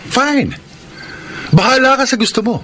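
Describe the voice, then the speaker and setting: A young Filipino husband who pleads and demands. His voice is high and aggrieved, in a melodramatic radio drama style, with faint noise behind it. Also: lively intonation, measured pace, fairly bright tone, heavily acted. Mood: resentful.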